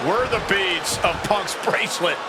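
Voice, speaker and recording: A man's voice: sits high, measured pace. A man giving urgent, emphatic play-by-play as a wrestling commentator. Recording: loud arena crowd and noise behind voice. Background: roaring crowd and impact slams.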